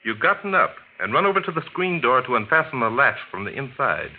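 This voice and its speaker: A shrewd gentleman sleuth in a 1940s radio play. His mid-pitched voice is expressive and assured, heard through a narrow, hissy vintage recording. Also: a little fast. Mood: accusing. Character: confident, shrewd gentleman amateur detective.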